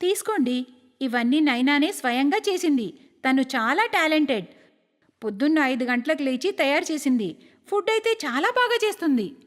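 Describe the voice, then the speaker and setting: Cartoon sister-in-law, played by a female narrator: young, scheming, sugary, high and very animated. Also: moderate pace. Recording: long hall-like reverb.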